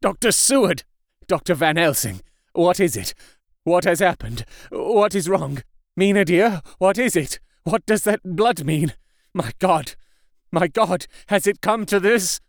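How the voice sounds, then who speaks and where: A man's voice: a little high, moderate pace, creaky, dry recording, studio quality. A man voicing a desperate, horrified husband in a gothic audiobook, crying out urgently and begging for help. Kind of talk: dramatic gothic audiobook character voice.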